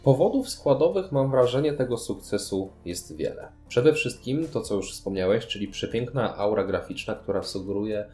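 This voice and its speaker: Male podcast co-host, low and very mellow voice, casual discussion over faint music. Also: expressive, moderate pace, few pauses. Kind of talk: casual board game discussion.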